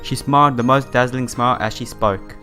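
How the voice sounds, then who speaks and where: A man reads visual novel text aloud in a casual let's play style, slipping in his own remarks. His voice is a little broken from a sore throat and sits at an average pitch. Faint game music plays behind him. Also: steady tone, a little fast, bright, forceful tone. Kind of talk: casual playthrough, reading game text with side comments.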